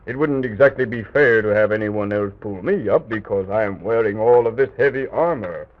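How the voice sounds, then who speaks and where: A man playing a courteous, patient castle guide in armor for an old children's radio serial, speaking formally and with measured explanation. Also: lowish voice, close to an everyday voice. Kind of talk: 1920s-30s children's radio serial acting. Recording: tinny old radio recording.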